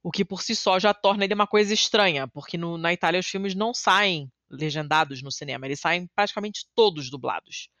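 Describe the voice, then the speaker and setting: A woman's voice: brisk, few pauses, no echo. A woman from Rio de Janeiro, chatting casually and animatedly, swearing and poking fun at herself. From Rio de Janeiro, Brazil. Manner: animated, swearing, self-mocking, jumps to high pitch when excited. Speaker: podcast guest living in Italy. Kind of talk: casual conversation.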